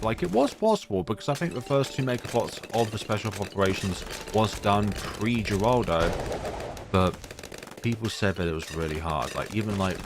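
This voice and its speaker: A man with a deep voice giving casual, rambling commentary on his own gameplay, full of fillers. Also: background noise. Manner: rambling, chatty, many fillers. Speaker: gaming YouTuber. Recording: music playing behind voice.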